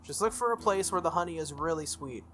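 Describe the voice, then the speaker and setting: A reader acts out a teenage schoolgirl mage from a visual novel, confident and teasing. She gives orders in a brisk, knowing way, with music underneath. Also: mid-range voice, animated, fairly bright tone, close to an everyday voice. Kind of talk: visual novel dialogue read aloud.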